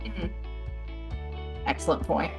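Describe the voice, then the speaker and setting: A woman's voice: lowish voice, takes it slowly, gravelly, echoey room, over music. Chatty woman hosting a panel. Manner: relaxed, chatty, many fillers like "end up". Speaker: panel host. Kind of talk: hosting a live panel discussion.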